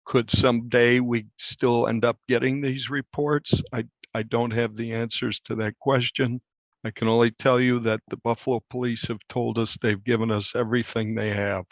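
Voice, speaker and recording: A man, a newspaper reporter, explaining things in an interview with deliberate, halting phrasing. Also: medium pitch, flat delivery, no room sound. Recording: dull, slightly muffled lo-fi sound.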